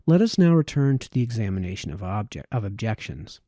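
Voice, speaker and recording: A man reads a formal old essay aloud in a very dark, soft-edged voice. His phrasing is measured, with an occasional stumble over a word. The sound is dry and close, with little room around it. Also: on the low side, sing-song, no echo. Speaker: amateur reader of historical texts. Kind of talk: read-aloud of formal historical essay, audiobook style.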